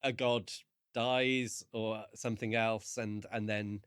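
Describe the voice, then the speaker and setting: A man co-hosting a podcast, chatting casually about a book and reasoning his way through in hesitant, measured phrases. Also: fairly low pitch, level tone, fairly bright tone, clear, resonant tone, no echo, studio quality. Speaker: podcast co-host. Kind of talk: casual book discussion chat.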